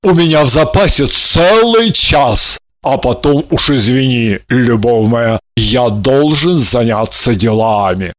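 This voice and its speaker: Female reader voicing an excitable male painter in love, pouring out exclamations at a slow, drawn-out pace. The lovestruck man sounds close and dry, with no room around the voice, as the reader puts him on. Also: very deep voice, no room sound, pitched below the performer's own voice, heavily acted. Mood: tender. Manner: effusive, exclamatory. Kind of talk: audiobook character reading.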